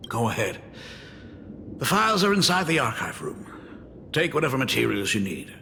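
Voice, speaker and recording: A man's voice: mid-range voice, very animated, normal speed, gravelly, pushed and bright, a little hiss or hum, exaggerated voice. A man voicing a doting, fretful middle-aged school dean in a video game, with a theatrical, fussing manner. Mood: composed, formal. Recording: long reverb under background music.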